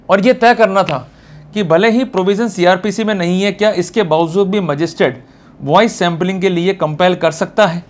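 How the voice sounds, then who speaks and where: A man with an Indian accent giving an informal, explanatory law lecture, speaking emphatically and checking in directly with his listeners. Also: sits high.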